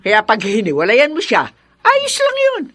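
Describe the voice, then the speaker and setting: A nagging older Filipino mother in a radio drama. Her voice is high and sharp, swinging wildly as she sneers. She is spiteful and sarcastic. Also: wildly swooping pitch, unhurried, exaggerated voice. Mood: nagging, scheming. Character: scolding, spiteful older mother looking down on daughter-in-law.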